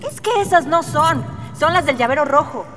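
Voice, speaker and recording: A woman's voice: sits high, lively intonation, normal speed, fairly bright tone. A woman playing a stubborn, insistent young rebel commander in a radio drama. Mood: irritated. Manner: insistent, snappy. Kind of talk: Spanish-language radio drama acting. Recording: long cavernous reverb, music behind.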